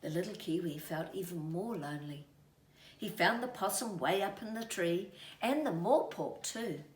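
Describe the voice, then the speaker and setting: A woman reading a children's story aloud in an expressive, deliberate way. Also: fairly low pitch. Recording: roomy, distant mic, faint noise.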